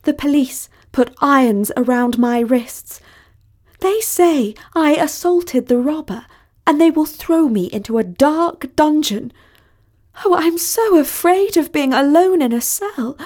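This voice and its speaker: Woman voicing a haughty, high-pitched young princess. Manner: haughty, demanding, melodramatic. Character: haughty, dramatic young princess, imperious and easily outraged. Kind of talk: acted dialogue in a storybook reading.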